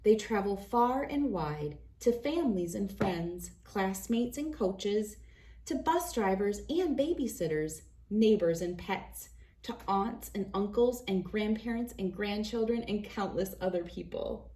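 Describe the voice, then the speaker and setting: Woman, read-aloud host, mid-pitched voice, children's picture book narration, expressive and deliberate phrasing.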